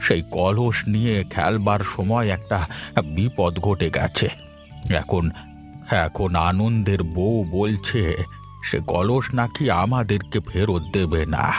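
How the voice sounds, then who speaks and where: A man's voice: on the low side, slow pace, soft background music. A man voicing a poor, humble old villager in a fairy-tale cartoon, deferential and plaintive. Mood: humble, troubled.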